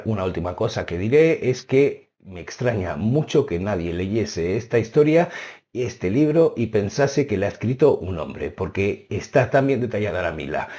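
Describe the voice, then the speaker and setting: A man, a native Spanish speaker, casually reviewing books he has read, animated and stressing key words. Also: on the low side. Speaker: book reviewer.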